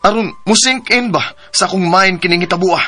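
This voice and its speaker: An actor playing a hesitant young boyfriend in a radio drama, coaxing and pleading with a Cebuano Filipino accent. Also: male voice, sits high, fairly quick, over loud music, close to an everyday voice. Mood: evasive, coaxing. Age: young adult.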